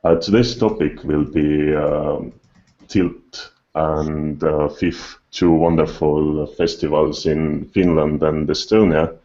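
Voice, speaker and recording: A man hosting a casual podcast interview over an internet call, speaking haltingly. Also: a bass voice, fairly even intonation, mellow, dull audio. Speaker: podcast host. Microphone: online video call.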